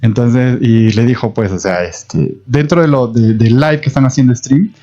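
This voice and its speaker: A man's voice: mid-range voice, normal speed, mellow, faint music behind. Man, Peruvian accent, casual podcast chat, keeps chiming in, dull audio. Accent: Peruvian Spanish. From Peru. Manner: talks over others, with frequent agreeing interjections. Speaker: podcast co-host.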